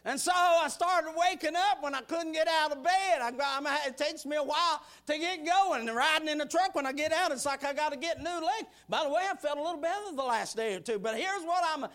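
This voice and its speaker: A man in his sixties preaching a sermon, building rhythmic repeated lines into shouted bursts, then dropping to quiet, folksy asides. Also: very high voice, brisk, hardly any pauses. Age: 60s.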